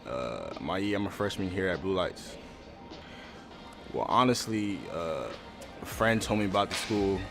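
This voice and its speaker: A man's voice: low-pitched, animated, measured pace, long pauses. A young man from Connecticut, a college freshman, answering interview questions in a laid-back way, often repeating himself. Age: young adult. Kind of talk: recorded player interview. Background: music bed.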